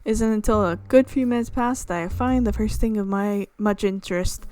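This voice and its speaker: A woman's voice: medium pitch, moderate pace, warm tone, faint music behind. A woman reading visual novel narration aloud as a let's player, with casual reactions of her own.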